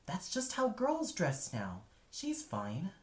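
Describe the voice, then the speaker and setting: An offhand, dismissive mother in an audio play , put on by a male narrator. Her voice is raised in pitch and waves every worry away, with a roomy echo around it. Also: wildly swooping pitch, takes it slowly, heavily acted. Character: dismissive wife and mother brushing off worries. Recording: echoey room.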